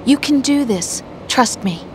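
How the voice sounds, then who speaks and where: A gentle young girlfriend reassures softly in a slightly high voice. Faint background noise sits under the radio call. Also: lively intonation, a little slow. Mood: reassuring. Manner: soft, reassuring. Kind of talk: radio call in a game cutscene.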